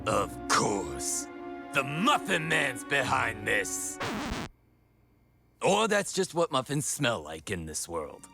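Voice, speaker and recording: A man playing a gluttonous, laid-back sidekick in a comic audio drama, deadpan and sardonic. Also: mid-range voice, slow pace, gravelly, exaggerated voice. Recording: music behind the voice.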